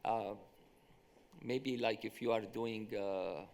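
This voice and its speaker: A mathematician speaking on a symposium panel, answering questions in a hesitant way with many restarts and fillers. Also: male voice, on the low side, slow, gravelly, a little mellow, slight background noise.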